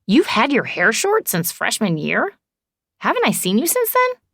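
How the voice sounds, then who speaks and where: A woman's voice: on the high side, huge pitch swings, normal speed, fairly bright tone, no room sound, studio quality, pitched above the performer's own voice, heavily acted. A woman voicing an exasperated, sardonic adult woman in audiobook dialogue, wry and indignant. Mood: surprised, awkward.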